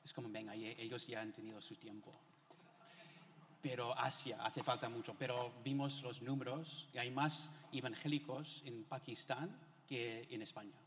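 A man's voice: medium pitch, fairly even intonation, moderate pace, a bit bright. A middle-aged man from the United States, a missionary guest giving his testimony in an informal, conversational way. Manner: informal, peppers talk with Spain-style filler words. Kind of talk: conversational testimony in a church interview. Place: large indoor church hall. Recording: echoey hall with long reverb.

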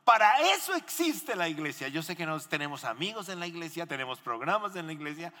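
Male pastor hosting at church, highish forceful voice, talks right to the crowd, big hall reverb. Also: very animated, a little fast. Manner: exhortative, addresses the congregation with questions. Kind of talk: animated church interview and preaching. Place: large indoor church hall. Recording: echoey hall with long reverb.

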